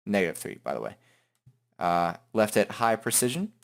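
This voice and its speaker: Low-voiced man giving a casual tutorial. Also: very animated, normal speed, gravelly. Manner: informal, joking, self-deprecating. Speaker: tutorial host. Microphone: headset microphone.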